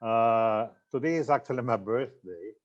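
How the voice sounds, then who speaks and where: Older man from Austria, seminar presenter, mid pitch, halting with fillers, slightly dull lo-fi audio. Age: 60s. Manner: loose, halting delivery with many fillers and self-corrections. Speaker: franchise consultant giving a seminar.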